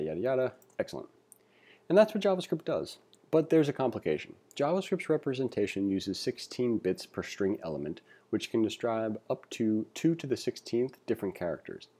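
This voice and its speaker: A man giving a read-along tutorial as an instructor, reading aloud and adding informal asides as he thinks out loud. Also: on the low side, slightly rough. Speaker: programming instructor. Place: indoors, screen-recording voice-over.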